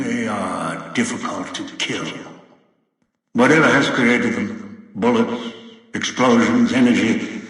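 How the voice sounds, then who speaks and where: An actor playing a cold, controlling old villain in a video game, speaking in a deliberate, commanding and menacing way. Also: male voice, fairly low pitch, fairly bright tone. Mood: grim instructing. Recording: very echoey, distant, long reverb.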